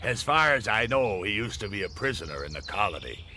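An actor in a video game playing a shady, gruff pirate who talks in a mocking, bossy, swaggering way. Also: male voice, fairly low pitch, big pitch swings, fairly quick, bright, forceful tone, slight background noise, full character voice. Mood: gruff, scheming. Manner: taunting, swaggering.

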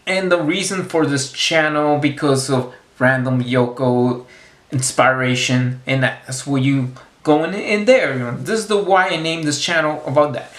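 Slow, halting man talking to camera. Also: on the high side, a bit bright, echoey room. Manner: halting, repeats words and restarts often. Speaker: vlogger. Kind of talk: casual rambling monologue to camera. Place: small room indoors against a plain wall.

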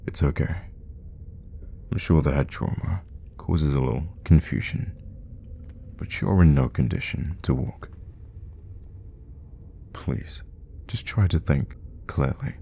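A mysterious vampire hermit, acted by a man in an intimate audio roleplay, with an extremely low voice and a slow, halting delivery. He is gentle and teasing on the surface, with quiet menace underneath. The tone is dark and mellow. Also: gravelly, a little hiss or hum, full character voice. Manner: halting, musing, softly teasing. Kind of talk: ASMR audio roleplay, intimate in-character monologue.

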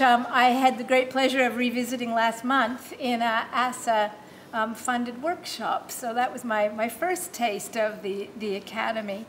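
A middle-aged woman with a Canadian accent gives a formal academic talk at a podium, measured and thoughtful, often pausing with fillers and restarting phrases. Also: fairly high pitch, slight background noise. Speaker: new academy fellow giving a speech. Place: wood-panelled function room. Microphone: podium microphone on a stand.

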